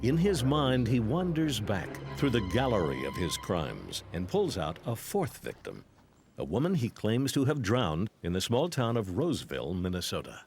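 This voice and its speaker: A man narrating a true-crime documentary in a scripted, dramatic and deliberate style. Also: lowish voice, wildly swooping pitch, soft background music.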